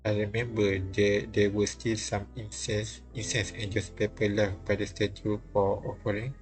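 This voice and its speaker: A man reading visual novel dialogue and narration aloud on a live stream, halting and repeating lines as he goes. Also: lowish voice, flat delivery. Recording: music playing behind the voice. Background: game music.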